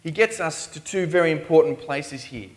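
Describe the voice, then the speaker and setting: Male preacher in a church, earnest and pleading, voice a bit on the high side. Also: moderate pace. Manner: earnest, rising to pleading questions. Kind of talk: sermon. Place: church in Australia.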